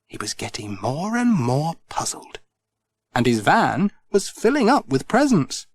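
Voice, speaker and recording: A middle-aged man reading a children's storybook aloud, gentle and expressive. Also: fairly high pitch, measured pace, no echo. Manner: gentle, expressive, sing-song. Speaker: audiobook narrator.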